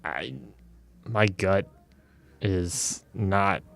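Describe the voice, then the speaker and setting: A man playing a conflicted adventurer who trusts his gut, speaking haltingly with stammers in a tabletop game. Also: sits low, creaky, voice pushed down, heavily acted. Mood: uneasy, hesitant. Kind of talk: improvised tabletop roleplay.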